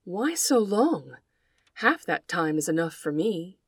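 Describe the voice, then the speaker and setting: A woman's voice: on the low side, sing-song, takes it slowly, slightly rough, no room sound, clean studio sound, exaggerated voice. A woman voicing a mocked monkey in a storybook tale, speaking dismissively in a raised voice.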